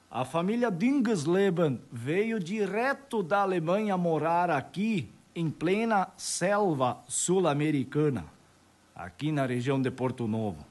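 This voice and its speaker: A man's voice: on the high side, breathy edge. A man from Brazil, casually telling local history and often ending phrases with "né?". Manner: animated, frequent "né?" tag questions.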